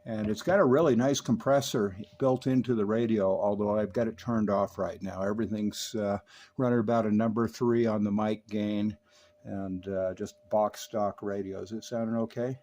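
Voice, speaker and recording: An older man reviewing a vintage CB radio in a relaxed, knowledgeable, folksy manner, also chatting on air as a radio operator. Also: lowish voice, normal speed. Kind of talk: technical review narration and on-air radio chat. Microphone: stock desk microphone on the radio.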